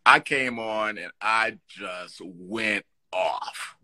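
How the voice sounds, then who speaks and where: A middle-aged man talks in a bright, forward voice. He tells his stories with lively energy, restarting his sentences as he goes, like a guest sharing anecdotes in an interview. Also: on the low side, very slow. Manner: animated, lively storyteller with restarts. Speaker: guest actor. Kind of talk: storytelling in an interview.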